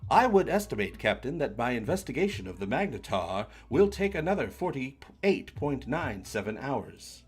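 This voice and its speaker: A man's voice: medium pitch, very animated, normal speed, hardly any pauses, slightly rough, faint music behind. A man voicing a precise, emotionless machine science officer in a sci-fi comic read-along, speaking formally and exactly.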